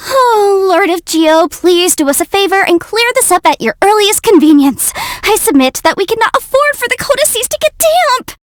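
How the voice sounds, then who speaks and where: High, lively young legal adviser. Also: female voice, dry recording, studio quality, full character voice. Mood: dismayed. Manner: articulate, theatrical, self-assured. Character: bright, brisk young female legal adviser, cute and confident. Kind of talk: video game character voice lines.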